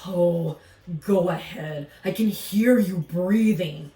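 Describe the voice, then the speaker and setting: Woman voicing a grumpy, exasperated storybook alligator, loud, echoey. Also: fairly low pitch, slow pace. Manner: loud, indignant, emphatic. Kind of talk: storybook character voice in a read-aloud. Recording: echoey room, distant from mic.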